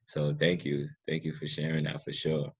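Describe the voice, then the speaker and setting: A man's voice: rumbling low, monotone, normal speed, creaky, husky. A man hosting a casual podcast interview, speaking in an earnest, effusive and encouraging manner. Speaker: podcast host and writer.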